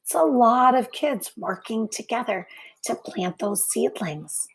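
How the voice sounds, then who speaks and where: A woman reading a picture book aloud to children as a teacher, phrasing deliberately with many pauses and adding brief asides to young listeners. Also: on the high side, lively intonation, husky, poor audio.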